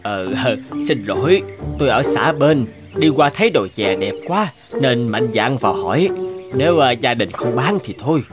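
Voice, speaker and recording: An actor playing a polite, hesitant land buyer in an animated drama, speaking in a courteous, halting way. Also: male voice, mid-range voice, close to an everyday voice. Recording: music behind the voice.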